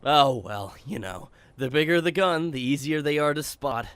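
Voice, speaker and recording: Man playing a high-voiced, modest sidekick. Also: expressive, normal speed, fairly bright tone, smooth, no echo. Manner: casual, hesitant, unassuming. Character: modest, agreeable male sharpshooter sidekick. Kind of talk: audio drama acting.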